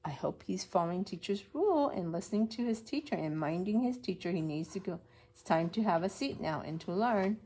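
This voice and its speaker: Woman, preschool teacher, slightly low pitch, story read-aloud, instructive, simple questions for young listeners. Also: lively intonation, fairly quick, dark tone, poor audio.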